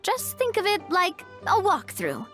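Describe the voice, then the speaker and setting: Upbeat young game heroine, reassuring partner type, high swoopy voice, bit of music behind. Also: female voice, unhurried, heavily acted. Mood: helpful, explaining. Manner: breezy, reassuring, expressive. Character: young woman, upbeat, confident festival organizer and partner. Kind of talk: video game voice acting.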